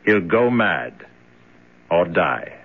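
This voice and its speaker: A cold captor in a seventies radio mystery. His low voice is terse and commanding, with strongly varied intonation, heard through a narrow, tinny old recording. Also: big pitch swings, drawn-out words, gravelly, music in the background, full character voice. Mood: detached, grim. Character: cold, controlled male captor and interrogator. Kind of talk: 1970s radio drama acting.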